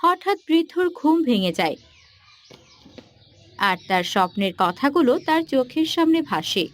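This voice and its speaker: High-voiced woman narrating a moral tale. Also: big pitch swings, a little slow. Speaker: story narrator.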